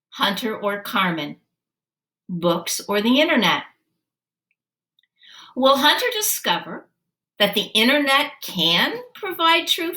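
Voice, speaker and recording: A woman reads a children's picture book aloud in a bright, high voice. Her delivery is dramatic and deliberate, with unhurried pacing and lots of emphasis. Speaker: read-aloud storyteller. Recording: roomy, echoey sound.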